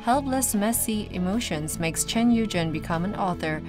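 A woman reading an English voice-over translation for a documentary report, in an even, reading-style delivery. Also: medium pitch, normal speed. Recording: voice-over with loud background music.

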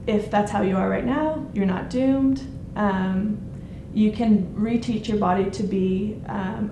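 Young female speaker, gravelly edge, hesitant, roomy noisy sound. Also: mid-range voice. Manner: hesitant, many ums. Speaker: conference speaker. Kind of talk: informal presentation. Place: conference room with projector screen. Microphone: handheld mic. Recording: echoey room, noisy.

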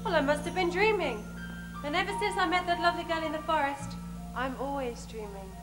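A woman's voice: sits high, animated, fairly quick. A woman playing a lovesick young prince as a pantomime principal boy, earnest and dreamy. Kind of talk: theatrical musical pantomime. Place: large theatre stage, indoors. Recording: echoey theatre, far off, loud music.

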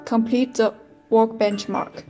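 Young chinese-accent woman counting off machine steps, flat and mellow, soft music under. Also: a little high, slow pace. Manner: plain, methodical step counting. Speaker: product demonstrator. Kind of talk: step-by-step instructional voice-over.

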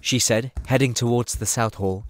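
A man's voice: mid-range voice, fairly even intonation, moderate pace, no room sound. A man narrating a scripted fantasy story as the narrator of an audio drama.

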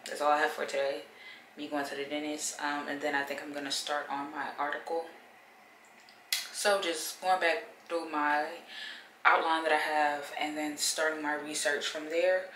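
A woman's voice: sits low, flat delivery, normal speed, a bit bright, roomy sound, slight background noise. A woman in her twenties chatting casually to a phone camera at home, rambling with many fillers. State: stuffy nose. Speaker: vlogger. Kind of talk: casual vlog chat. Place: bedroom at home. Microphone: phone camera at arm's length.

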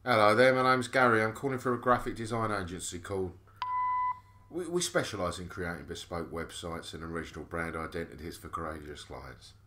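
A man's voice: deep voice, a little fast, sharp tone, a little hiss or hum. An actor playing a cheeky, flirtatious Cockney salesman in an audio drama, chatty and cajoling. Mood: polished pitch.

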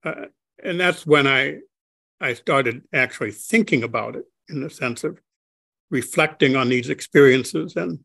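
Older man with a gravelly voice on a video call. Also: medium pitch, pushed and bright, no room sound. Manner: slow, halting, many restarts and fillers. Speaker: interview guest. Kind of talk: reflective conversation. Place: home study, indoors.

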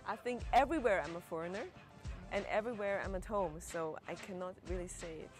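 A woman's voice: mid-range voice, fast, over music. A young woman from Switzerland with a Swiss German accent, a guest on a TV show who talks hesitantly and searches for English words, recorded outdoors on a terrace with a lapel mic. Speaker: guest, actress and comedian.

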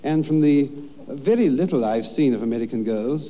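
A man's voice: medium pitch, lively intonation, brisk. A young man from London with an English accent, answering in a radio interview as a contestant, politely and formally, with some hesitation. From London, England. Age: young adult. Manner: polite, well-spoken, hesitant with formal phrasing. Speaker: amateur contestant. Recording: muffled, hissy 1930s radio broadcast.

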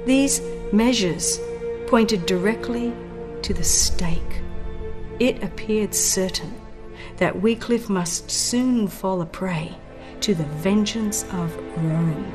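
A woman reading scripted dramatic audiobook narration in a formal, solemn and deliberate storytelling manner. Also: mid-range voice. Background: background music.

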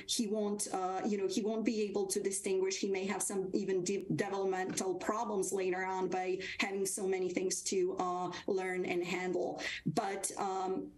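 A woman from Serbia, speaking as a guest over a video call from a small wood-paneled room. She talks at a middle pitch and hesitates often, filling pauses and restarting her sentences. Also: a little mellow, rough recording. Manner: hesitant, many fillers and restarts. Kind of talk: remote interview storytelling.

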